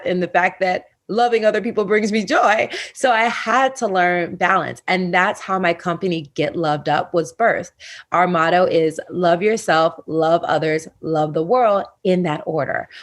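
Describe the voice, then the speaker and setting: A woman in her late thirties giving conversational, earnest and encouraging interview answers as a wellness coach. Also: lowish voice.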